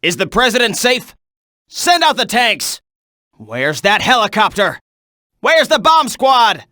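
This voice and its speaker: Military trooper, video game battle clips, urgent, clipped orders, forceful tone, very dry sound. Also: male voice, extremely high pitch, very animated, slow pace, no echo, exaggerated voice. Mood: urgent, commanding. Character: tense military soldier barking combat reports and orders.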